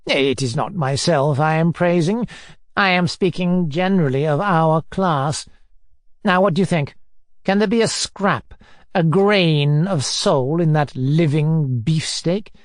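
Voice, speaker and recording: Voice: medium pitch, a little slow, no room sound, close to an everyday voice. Pompous middle-aged man, acted by a narrator, storybook villain, hectoring, sneering, dry recording. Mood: pompous, nonchalant. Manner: pompous, majestic nonchalance, hectoring questions. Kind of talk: audiobook character dialogue.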